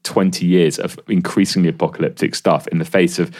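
A man's voice: low voice, level tone, a little fast, a little mellow. A man, an activist guest, telling a rambling, sweary story with lots of fillers. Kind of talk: casual podcast conversation.

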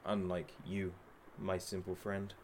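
A man's voice: sits low, monotone, measured pace, a little mellow, slight background noise. An actor playing a masked hostage-taker who runs a talk show, gleefully cruel, sarcastic and showman-like, in an audio drama. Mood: smug menace.

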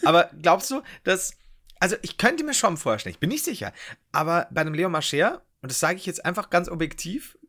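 A young man co-hosting a casual podcast, playful and excitable, often restarting his sentences. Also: high-pitched, a little fast. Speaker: podcast co-host. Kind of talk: casual chat.

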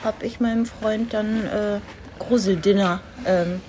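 A woman's voice: mid-range voice, fairly even intonation, unhurried, dark tone. Woman chatting with faint noise behind. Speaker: event guest being interviewed. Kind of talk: casual hesitant chat.

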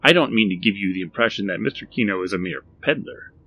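A man voicing an eerie, overly formal little male stranger who speaks slowly and precisely. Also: fairly low pitch, animated, bright, forceful tone, slight background noise. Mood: measured.

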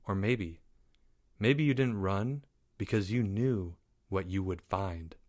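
Man playing a commanding schoolboy leader, calm, quiet, measured, self-important, stern interrogator, slow delivery, storybook character. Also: low-pitched. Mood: quiet, menacing.

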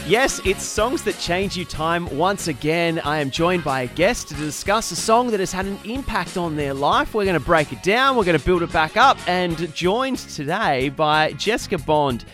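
A man's voice: sits high, lively intonation, moderate pace, few pauses, over music. A man hosting an interview podcast, chatty and upbeat, laughing easily with his guest. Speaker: podcast host. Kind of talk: casual interview chat.

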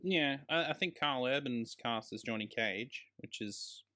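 Male Australian podcast co-host, average pitch, casual and keen, fond of bold statements about films. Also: a little slow, bright, forceful tone. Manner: enthusiastic, given to emphatic grand claims. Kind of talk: casual film discussion.

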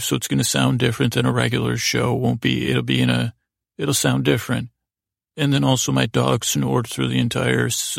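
A man hosting a bedtime podcast in a lulling, soothing, creaky voice, meandering and trailing off mid-thought. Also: medium pitch, no echo, clean studio sound. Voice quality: very creaky, gravelly. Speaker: podcast host. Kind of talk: rambling bedtime-story podcast monologue.

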